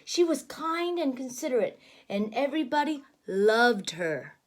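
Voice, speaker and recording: High voiced lady teacher doing a kids read-aloud, slow n super expressive, lots of gasps and questions. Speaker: teacher reading a picture book aloud to a child. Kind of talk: animated children's read-aloud with explanations.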